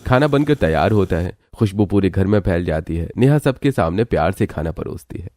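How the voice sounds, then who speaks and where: A man's voice: low voice, lively intonation, brisk, darkish tone. A man narrating a scripted cartoon story as its storyteller.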